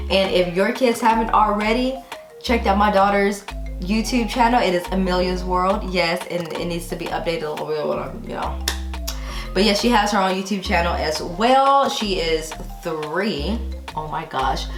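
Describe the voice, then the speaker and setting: A woman's voice: mid-range voice, moderate pace. An American woman in her thirties chatting casually to her viewers as a vlogger, conversational with playfully emphatic asides. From Texas, United States. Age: 30s. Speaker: YouTube vlogger. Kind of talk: casual chatty question-and-answer to camera. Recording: roomy echo with music behind. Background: background music.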